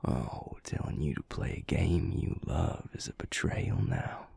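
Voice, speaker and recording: A man acting a grumbling but fond male vampire in an intimate audio roleplay, dry, sardonic and husky. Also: sing-song, warm tone, no echo, heavily acted. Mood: wry, fond. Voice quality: low, gravelly, husky. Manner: dry, sardonic, slow and profane.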